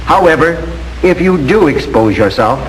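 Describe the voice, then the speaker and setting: An American man narrates in the formal style of a 1940s training film. His voice sits a little high, and he speaks in a measured, instructive way. The old film soundtrack carries some noise and hiss. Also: expressive, rough recording.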